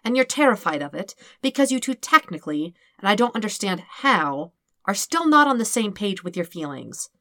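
A woman reading fan fiction aloud, voicing a calm, sympathetic professional woman who is patient and matter-of-fact. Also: mid-range voice, no echo, studio quality, pitched above the performer's own voice. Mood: exasperated, firm. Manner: measured, careful, matter-of-fact.

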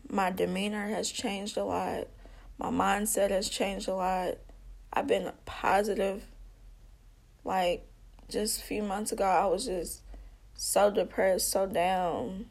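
A woman's voice: mid-range voice, little pitch movement, slow pace, vocal fry, slightly rough. A woman giving an earnest personal testimony, speaking conversationally, calling her listeners y'all and repeating herself with small slips. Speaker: vlogger.

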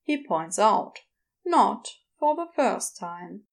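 A woman's voice: mid-range voice, very animated, slow, creaky. A woman narrating a scripted story in an audiobook style.

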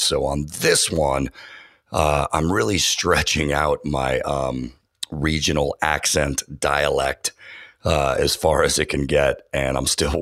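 An enthusiastic man talking shop in a podcast interview, his voice sitting extremely low. He stumbles through fillers and restarts as he goes. The sound is dry and close, with no room around it. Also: a bit bright, no echo. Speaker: voiceover artist and audio engineer. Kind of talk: casual interview talk.

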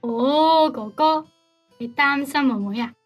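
A woman's voice: high-pitched, slow, soft background music. A woman acting a sweet, coy, girlish young starlet in a radio drama, with a Hong Kong Cantonese accent. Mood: cute, teasing.

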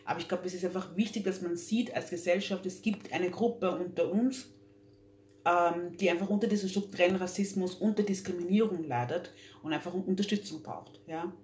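A woman's voice: on the low side, fairly even intonation, brisk, darkish tone. A young woman, talking earnestly as an interview guest, with many fillers and restarts. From Austria. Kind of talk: casual interview talk. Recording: echoey room, faint hum.

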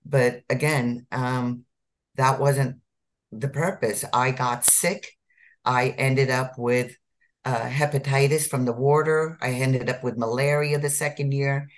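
A woman's voice: a bass voice, fairly even intonation, slow. A woman from India, a physician in her fifties or sixties, telling her life story in an interview with earnest emphasis, repeating key words. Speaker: physician guest.

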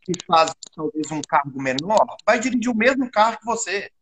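Somewhat high-voiced Brazilian man explaining. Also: expressive, moderate pace, background noise. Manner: explanatory, stumbles at times. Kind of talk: casual panel chat.

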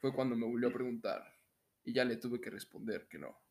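A man's voice: medium pitch, a little fast, vocal fry. A young man with a Mexican accent co-hosting a podcast in casual comedic chat and story reading, jokey and prone to breaking into laughter. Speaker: podcast co-host.

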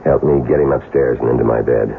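An actor playing a cool, commanding, murderous husband in a 1970s radio drama, calm and dry, very deep and gravelly. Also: male voice, fast talker. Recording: noisy, tinny vintage radio broadcast.

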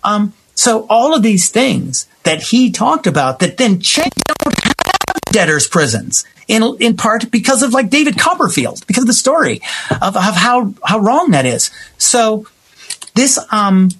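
A man's voice: high-pitched, sing-song, unhurried, a bit bright, a little hiss or hum, low-quality recording. A man speaking as a guest playwright and actor in a conversational interview, emphatic and given to acting out remembered exchanges.

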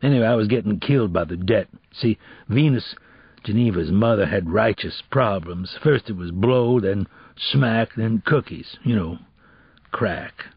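A narrator, a man, plays a weary male ex-con in a crime audiobook. He is a troubled father, earnest and plainspoken, full of street slang. The voice is husky and the sound dry and a little dull. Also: fairly low pitch, measured pace, no room sound. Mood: sorrowful, earnest. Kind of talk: audiobook character dialogue.

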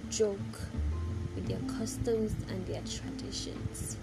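A woman speaks in a Nigerian accent at an average female pitch. She delivers a casual personal monologue with firm emphasis, often repeating a phrase or posing a question to the listener. Music is clearly audible behind her voice. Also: very animated, slow pace. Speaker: vlogger giving personal opinion.

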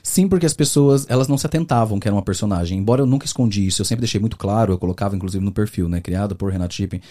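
A man from the interior of São Paulo state, with that region's accent, chatting as a guest on a podcast. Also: lowish voice. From Brazil, interior of São Paulo state. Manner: fast, animated storytelling with many fillers. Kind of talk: casual interview chat.

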